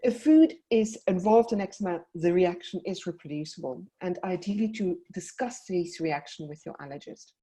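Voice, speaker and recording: A woman with a South African accent, a paediatric dietitian presenting a webinar and advising parents in a brisk, explanatory way over a computer mic. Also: on the low side, dark tone.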